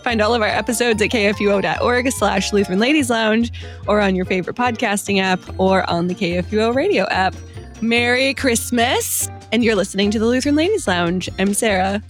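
A woman's voice: medium pitch, a little fast, faint music behind. A woman hosting a podcast, speaking in a light-hearted chat and sign-off style. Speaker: podcast host.

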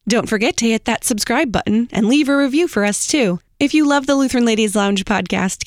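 A woman's voice: mid-range voice, dry recording, clean studio sound. A woman announcer delivering a scripted podcast disclaimer and promotional read.

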